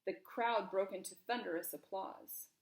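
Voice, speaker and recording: A woman's voice: medium pitch, moderate pace, creaky. A woman reading a children's storybook aloud expressively, with emphasis and questions put to young listeners. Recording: roomy, echoing room.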